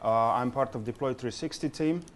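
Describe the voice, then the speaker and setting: A man's voice: mid-range voice, normal speed, a little hiss or hum. Slovenian guy in his 40s giving a conference talk in english, medium voice, lots of ums and repeats. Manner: hesitant, frequent fillers and repeated words. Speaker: conference speaker. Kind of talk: conference presentation.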